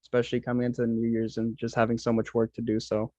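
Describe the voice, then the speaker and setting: A man chimes in on a group call to share his own experience. He talks quickly in a flat, even tone. The audio is dry and muffled. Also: mid-range voice, dry recording. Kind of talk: casual chat.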